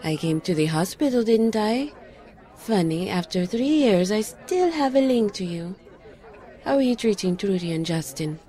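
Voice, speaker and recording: An actress playing a petite, caring but sharp-tongued former lover in a noir audio drama, speaking earnestly and pointedly. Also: female voice, mid-range voice, expressive, moderate pace, some chatter behind, close to an everyday voice. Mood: reproachful. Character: tiny, sharp-tongued, caring female old flame, a pixie.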